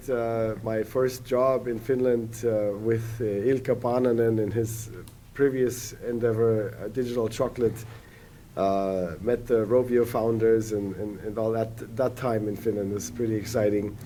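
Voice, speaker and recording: A man's voice: lowish voice, warm tone, slight background noise. A man in his forties, a native German speaker, telling his story as a podcast guest in a rambling way, with many fillers and restarts. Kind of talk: casual podcast conversation.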